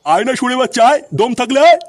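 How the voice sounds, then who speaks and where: A man's voice: extremely high pitch, expressive, very fast, no echo. A man voicing a brash, foul-mouthed kidnapping gang boss in a comic cartoon. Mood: angry.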